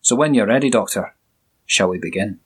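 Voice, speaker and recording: A man's voice: animated, a little fast, slightly rough. A man doing a hearty Scottish police sergeant. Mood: brisk. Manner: booming, jovial, probing questions.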